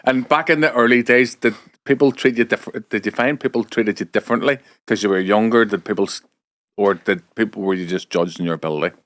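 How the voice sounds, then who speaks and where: A man's voice: lowish voice, fairly quick, fairly bright tone. A man hosting a podcast, asking questions that he often restarts as he goes. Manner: stumbles and restarts his questions. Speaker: podcast host. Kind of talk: podcast interviewing and ad read.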